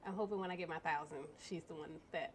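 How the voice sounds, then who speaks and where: A woman's voice: on the low side, steady tone, quick pace, heavy background noise. A woman streamer reacting casually while she plays a video game. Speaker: gaming streamer. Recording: loud game music behind.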